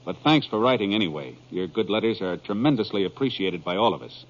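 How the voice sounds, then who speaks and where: An American man announces for 1950s radio in a polished, genial, scripted manner. His intonation is lively and wide-ranging. The old recording sounds muffled. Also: fairly low pitch, fast.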